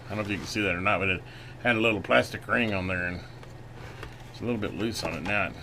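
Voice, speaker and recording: A man giving a casual hands-on repair demonstration, folksy and unhurried as he talks while working. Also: lowish voice, sharp tone. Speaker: channel host doing electronics repair. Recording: noisy room, clearly audible background noise.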